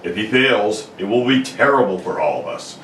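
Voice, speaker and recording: A man's voice: fairly low pitch, animated, normal speed, a bit bright. A man playing a solemn army general with grave orders in an old-time radio drama. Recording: roomy, distant mic with faint noise.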